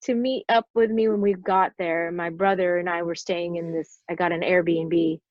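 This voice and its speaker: A woman's voice: on the low side, steady tone, normal speed. A woman in her forties, talking as a podcast guest, who tells her stories in a loose, rambling way with fillers sprinkled throughout. The recording sounds a bit dull and lo-fi, with a dry, close sound and no room echo. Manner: rambling storyteller, lots of "like" fillers. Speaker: podcast guest, stunt performer. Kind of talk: casual interview chat.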